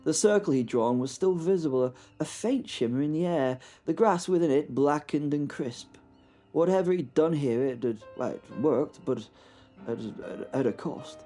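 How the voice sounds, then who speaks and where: A man's voice: a little high, mellow. British male narrator, dry wit, stumbling restarts, faint music bed. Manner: wry, halting narration with frequent repeats. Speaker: podcast host and narrator. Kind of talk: first-person horror audio drama narration.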